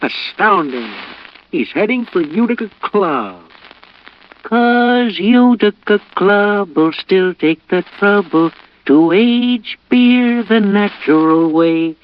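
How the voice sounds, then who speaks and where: A pompous, contented comic officer for an old radio ad. He talks slowly with a swooping pitch, on a dull recording. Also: animated. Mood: pleased. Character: pompous, contented talking beer mug police officer. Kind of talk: comic radio commercial acting.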